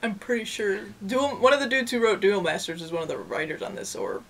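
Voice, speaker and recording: A woman's voice: mid-range voice, animated, a little fast, vocal fry, fairly bright tone. A woman co-hosting a review show with casual, wry banter and commentary. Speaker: anime review show co-host.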